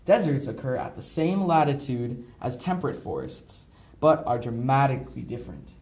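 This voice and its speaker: A man's voice: mid-range voice, big pitch swings, normal speed, darkish tone. A young man reading scripted educational narration with jokey asides, recorded in a roomy, amateur way.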